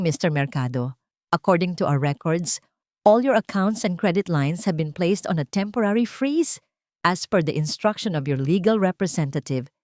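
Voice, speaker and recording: A woman voicing a calm, polite bank hotline agent in an audio story, with a measured, official manner. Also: lowish voice, dry recording, clean studio sound, natural voice.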